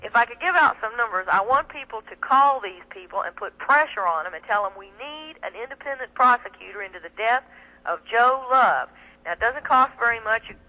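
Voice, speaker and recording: A woman's voice: fairly high pitch, lively intonation, brisk, dull audio. A woman speaking emphatically and indignantly as a guest in an impassioned talk radio interview. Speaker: guest, attorney and activist. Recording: band-limited 1990s shortwave radio, telephone sound.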